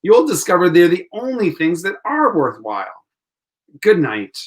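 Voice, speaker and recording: A man's voice: sits high, huge pitch swings, moderate pace, background noise. Guy playing earnest idealistic lawyer in an old-time radio play, voice moves around a lot, pleading. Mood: earnest, hurt. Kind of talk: 1940s radio play acting.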